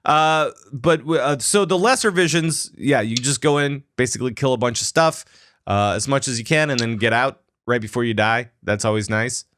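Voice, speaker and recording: A man's voice: medium pitch, normal speed, fairly bright tone, clear, resonant tone, no echo. A man hosting a casual gaming podcast, joking playfully and repeating phrases for emphasis. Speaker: podcast host.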